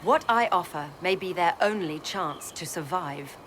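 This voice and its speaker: An actress voicing a cold, proud zealot commander in a video game, deliberate and disdainful. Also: female voice, lowish voice, lively intonation, fairly bright tone, a little hiss or hum. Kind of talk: dramatic video game acting.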